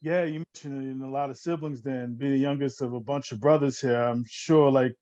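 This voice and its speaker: A man's voice: mid-range voice, fairly even intonation, a little fast, dark tone, dry recording, lo-fi sound. A man from New Jersey hosting a casual interview, eager and chatty, who keeps saying "like I said" and laughs often. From New Jersey, born in Newark, raised in Orange. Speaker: interview host.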